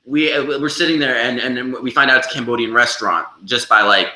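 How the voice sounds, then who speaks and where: A man being interviewed as a band member, telling stories casually and excitedly, often repeating words and acting out quotes. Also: mid-range voice. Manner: halting delivery with repeated words and acted-out quotes. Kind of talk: animated casual storytelling. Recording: echoey room.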